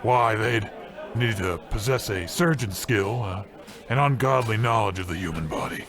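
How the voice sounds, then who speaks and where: An actor playing a shifty, nervous, vain dive-bar bartender with a halting, evasive way of talking, in an old-style radio comedy. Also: male voice, fairly low pitch, a crowd in the background, natural voice.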